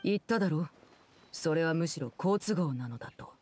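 An actress playing an aloof, commanding woman in a Japanese game, speaking in a composed, measured, formal way. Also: low-pitched, lively intonation, darkish tone, slight background noise. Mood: calm, guarded. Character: cool, commanding woman, aloof diplomat and stern guardian. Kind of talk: Japanese anime-game voice acting.

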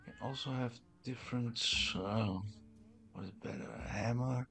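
A man's voice: medium pitch, normal speed. A man giving casual let's-play commentary, muttering slangy, sweary reactions in short bursts. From Netherlands. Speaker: gaming streamer. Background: game music.

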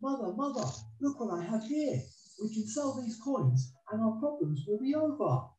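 A man's voice: very high-pitched, big pitch swings, normal speed, warm tone, slight background noise, voice pushed up. A man voicing a poor young boy in a children's fairy tale, polite and pleading with a cheeky streak. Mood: eager. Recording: dull roomy recording, faint music.

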